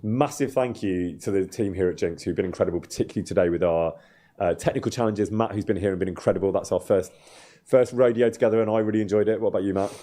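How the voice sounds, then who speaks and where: A man's voice: on the low side, quick pace, warm tone. A man hosting a gaming livestream, chatting casually and a little hesitantly while he plays. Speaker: streamer and host of a gaming livestream. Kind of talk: casual livestream chat while playing a game.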